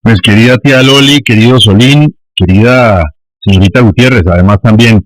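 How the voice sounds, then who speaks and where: A man's voice: lowish voice, no room sound, rough recording. A man with a Colombian accent hosting a live radio interview in a courteous, playful manner. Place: dry radio studio.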